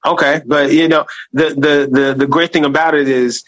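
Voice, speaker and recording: A man's voice: a little high, fairly even intonation, normal speed, a bit bright, no room sound. A male radio host who trips over his words, restarting and repeating as he goes. His tone toward his guest is encouraging and full of praise. The sound is dry and close, with little room around it. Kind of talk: casual interview.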